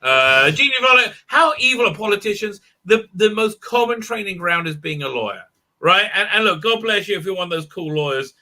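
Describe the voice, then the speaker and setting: A man with a somewhat high, very bright voice hosts a casual online stream. He rambles, stops and restarts, with plenty of "uh" and "right?". He talks into a computer mic on a video call. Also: sing-song, a little fast. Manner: rambling, full of fillers and restarts. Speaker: livestream host. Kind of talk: casual chat.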